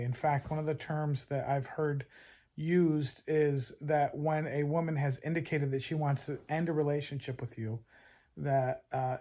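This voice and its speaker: A man's voice: a little high, dark tone. A man giving dating advice in an earnest, conversational way, often restarting and repeating words. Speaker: dating coach. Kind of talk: advice talk to camera.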